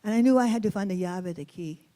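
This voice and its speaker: A woman's voice: mid-range voice, fast talker, dark tone. A woman storyteller from East Los Angeles, with an accent from there, telling a personal story expressively, weaving in Spanish words and translating them.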